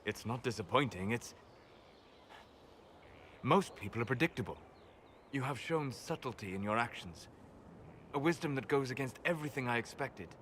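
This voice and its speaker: An actor playing a wise, aloof male elf mage in a game cutscene, measured and soft-spoken. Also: medium pitch, expressive, a little hiss or hum, lightly acted. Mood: calm, thoughtful. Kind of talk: video game cutscene dialogue. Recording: game audio with long reverb, faint ambience.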